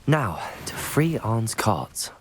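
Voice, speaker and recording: A man's voice: fairly low pitch, big pitch swings, slow, slight background noise. A man voicing an earnest, polite young wizard student hero in a video game. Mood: calm, pleased. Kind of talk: video game dialogue and shouted spell calls. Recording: loud game music behind the voice. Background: music.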